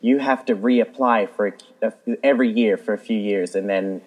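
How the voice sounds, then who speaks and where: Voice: medium pitch, steady tone, normal speed, a little mellow. A co-host in a casual chat, earnestly explaining with many restarts.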